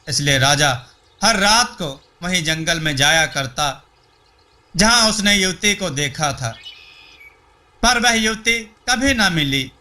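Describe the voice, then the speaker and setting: A man narrating a scripted folk tale as a storyteller, in a measured, deliberate delivery. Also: sits high, bright, forceful tone.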